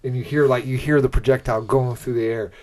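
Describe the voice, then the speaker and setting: A man co-hosting a podcast, informally reading out a pitch amid banter and often checking in with "right?". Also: fairly even intonation, fast talker, darkish tone. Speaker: podcast co-host pitching a movie trailer. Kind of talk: casual group banter, reading out a scripted pitch.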